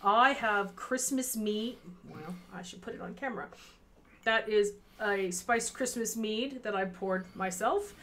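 A chatty woman in her thirties co-hosts a charity livestream from her home, talking into a headset. She rambles and gets distracted easily, her pitch sitting in the middle of the range. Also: a little slow, fairly bright tone, slight background noise. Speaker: charity stream co-host. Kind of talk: casual livestream chat. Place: home room with fairy lights behind.

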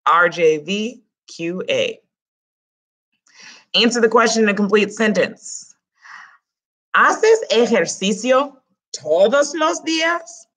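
A woman's voice: medium pitch, fairly bright tone. A woman in her thirties teaching a Spanish lesson online from a small room at home, speaking with a Dominican accent on the Spanish words and making chatty, joking asides to her students. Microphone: webcam close up.

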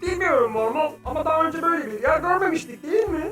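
A woman's voice: high voice, expressive, fast. A woman voicing a bold, boastful, mischievous young adventurer in a children's educational cartoon, playful and exclamatory. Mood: adventurous. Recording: echoey, distant, faint music behind.

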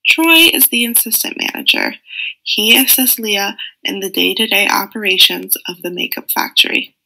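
A young woman narrates a prepared script for a class presentation, working through lists one item at a time. Her voice is very bright and sharp, with a gravelly edge of vocal fry, and her pitch is ordinary. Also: rough recording. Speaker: student presenter. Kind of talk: scripted narration of an animated case study.

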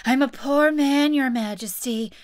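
A woman's voice: sits high, expressive, slow pace, fairly bright tone, voice pushed up, exaggerated voice. A woman voicing a nervous, wretched male hatter in a storybook, trembling and pleading hesitantly.

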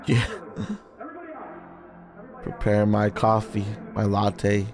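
A man's voice: fairly low pitch, completely flat delivery, drawn-out words, over music. A young man giving casual movie reaction commentary in short, slangy, sweary interjections, close on a microphone. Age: young adult. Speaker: reaction video co-host. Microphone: close studio microphone on boom arm.

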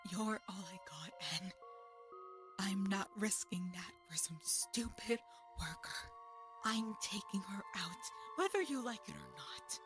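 A woman voicing a fierce, embittered, coldly protective robot in an animated drama, with clipped, menacing emphasis. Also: medium pitch, unhurried. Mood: cold anger. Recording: loud background music.

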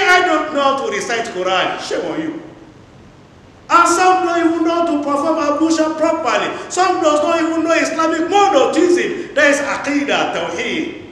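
A middle-aged man with a Nigerian English accent giving a Friday sermon in a mosque, swinging between loud exhortation and quiet asides. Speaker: imam. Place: large tiled mosque hall. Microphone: lapel mic. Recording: booming echo of a large hall.

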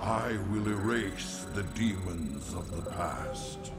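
An ancient alien dark warrior from a video game. The voice is low, gravelly and breathy, with slow, grave, formal phrasing that swells and falls widely. Loud music plays behind. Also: male voice, animated. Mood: resolute.

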